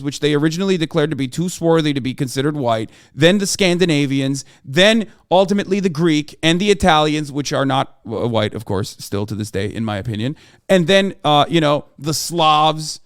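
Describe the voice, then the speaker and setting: A man's voice: on the high side, smooth, no room sound, studio quality. A man streaming live, explaining his view emphatically to his chat, often checking in with "okay?" and swearing for emphasis. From Turkey. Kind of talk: livestream commentary lecturing chat.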